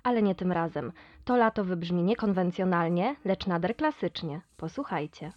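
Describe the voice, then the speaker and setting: A woman's voice: lowish voice, expressive, moderate pace. A woman playing a poetic, polished radio presenter heard through a radio in an audio drama. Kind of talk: scripted radio presenting in a radio play. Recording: dull band-limited radio sound, faint music.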